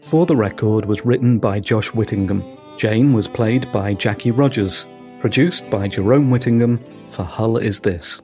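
A man who is a news editor and host, reading scripted credits and asking interview questions in a steady, informative way. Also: on the low side, moderate pace, soft background music.

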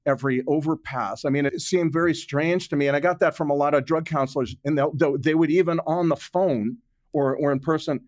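A man's voice: medium pitch, no echo, clean studio sound. A man speaking as an interview guest, explaining conversationally and emphatically, repeating words for stress. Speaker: guest, author and journalist.

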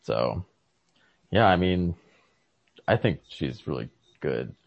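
A man in a casual, analytical literary conversation as a podcast host, often halting to search for words. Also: very deep voice, animated, vocal fry, no echo. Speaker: podcast host discussing a short story. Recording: lo-fi podcast recording.

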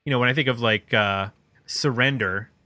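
A man's voice: mid-range voice, moderate pace, sharp tone, no room sound. A man hosting a podcast in a casual music review chat, thinking aloud in a conversational way. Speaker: podcast host.

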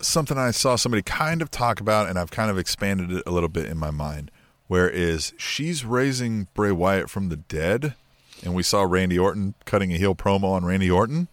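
A man's voice: low voice, lively intonation, brisk, no echo. A man co-hosting a wrestling podcast, rambling and speculating with lots of fillers. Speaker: podcast co-host. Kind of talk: casual wrestling chat.

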